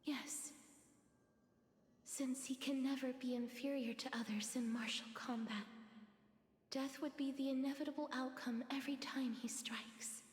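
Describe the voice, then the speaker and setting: A woman voicing a solemn, sorrowful young woman in a video game, speaking formally in a hushed, deliberate, breathy way. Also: high voice, fairly even intonation. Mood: grave, hushed. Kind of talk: dramatic video game voice acting. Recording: echoey, long reverb, music underneath. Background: game music.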